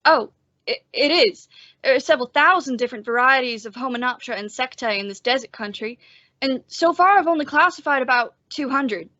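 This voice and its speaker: Woman playing a high-voiced, timid young male sheriff. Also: expressive, brisk, sharp tone, no room sound, muffled recording, full character voice. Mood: shy, earnest. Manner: hesitant, stammering, anxious. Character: timid, bookish young male sheriff, nervous insect collector. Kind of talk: old-time western radio drama acting.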